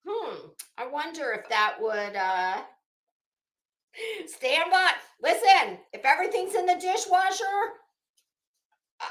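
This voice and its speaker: High-pitched, sassy woman vlogger. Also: lively intonation, slow pace, pauses often, a bit bright, echoey room. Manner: sassy, salty-tongued, theatrical. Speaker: YouTube host. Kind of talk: casual rambling vlog chat.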